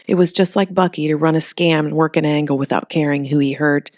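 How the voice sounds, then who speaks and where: A woman reads a creature story aloud in an even, steady voice. Her pitch sits low for a woman. The recording is close and dry, with no room sound. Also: brisk, no room sound. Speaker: audiobook narrator. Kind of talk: scripted storybook narration.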